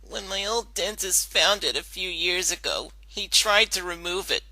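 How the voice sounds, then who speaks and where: A woman's voice: fairly low pitch, normal speed, pushed and bright, dry recording, exaggerated voice, low-quality recording. A woman voicing a scared, reluctant young female pony in a dramatic story reading, speaking hesitantly with stammers. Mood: anxious, reluctant. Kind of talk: dramatic fan-fiction reading.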